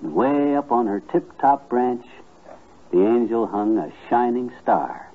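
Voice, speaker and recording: Folksy kindly storyteller, husky medium-pitched voice, homespun grammar, old radio comedy, narrow vintage recording. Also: male voice, lively intonation, unhurried. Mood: tender. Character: folksy, kindly married man telling a child stories. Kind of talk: 1950s radio comedy acting.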